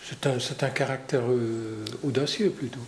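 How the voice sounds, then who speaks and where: A man's voice: mid-range voice, fairly even intonation, moderate pace. A man whose first language is German, interviewing in French with short prompting questions. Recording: echoey room, faint noise.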